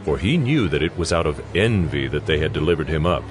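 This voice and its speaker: A man's voice: extremely low pitch, animated, fairly quick, background noise. A man reading scripted scripture narration as the narrator of a drama. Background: music.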